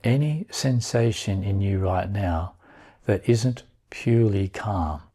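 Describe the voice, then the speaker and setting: A man guiding a meditation in a calm, unhurried manner, leaving long pauses between short phrases. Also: fairly low pitch, husky. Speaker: meditation guide. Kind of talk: guided meditation.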